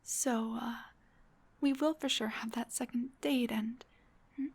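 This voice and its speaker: Shy, slow-spoken dullahan girlfriend, acted by a woman. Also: on the high side, fairly even intonation. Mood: flustered, grateful.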